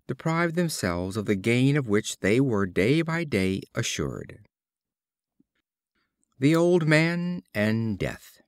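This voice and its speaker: A man narrating short fables as a scripted storybook reading, in a deliberate, expressive storytelling manner. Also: mid-range voice, dry recording, clean studio sound. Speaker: audiobook narrator.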